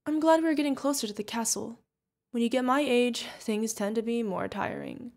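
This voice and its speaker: A woman's voice: on the high side, moderate pace, darkish tone, lightly acted. A woman playing a protective older witch mentor, fond, teasing and stern, in an ASMR fantasy roleplay. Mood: playful, wry. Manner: affectionate teasing, mock-exasperated. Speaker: voice actor.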